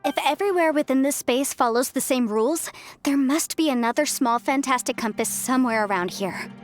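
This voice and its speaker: A woman's voice: high-pitched, fairly quick, soft background music, lightly acted. A woman playing an eager, earnest, inquisitive young woman adventurer in a video game. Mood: curious. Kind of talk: video game character acting.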